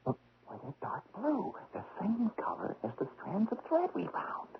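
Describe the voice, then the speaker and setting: An actor playing an eager, clever investigator in a 1970s radio drama, explaining his deductions in an excitable, pedantic way. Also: high-pitched, moderate pace, gravelly, full character voice. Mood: hushed excitement. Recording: tinny, faintly noisy vintage radio.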